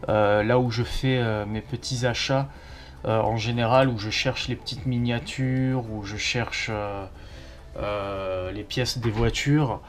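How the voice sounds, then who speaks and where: A man's voice: mid-range voice, fairly even intonation, slow, soft background music. Casual, sweary man vlogging. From southwestern France. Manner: slangy, sweary, informal. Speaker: car vlogger. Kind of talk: casual chat to camera.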